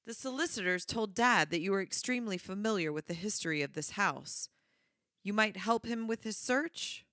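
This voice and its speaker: A woman's voice: mid-range voice, normal speed, sharp tone, no room sound, studio quality, lightly acted. A woman acting in a radio play as a polite, earnest young adult daughter who is uneasy. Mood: polite, gently chiding.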